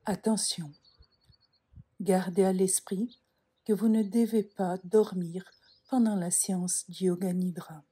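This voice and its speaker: A woman leads a guided relaxation at a very unhurried pace. Her voice is soft and breathy with a mellow tone. She gives calm, measured instructions and leaves frequent pauses between phrases. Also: medium pitch. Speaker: yoga nidra meditation guide. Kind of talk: slow guided relaxation meditation.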